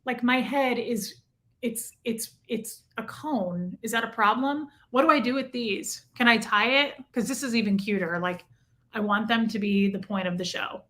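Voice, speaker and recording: A woman's voice: fairly high pitch, normal speed, fairly bright tone. A woman hosting a casual livestream from home, chatting and reacting to viewer comments. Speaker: livestream host reading chat. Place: home room with a heater.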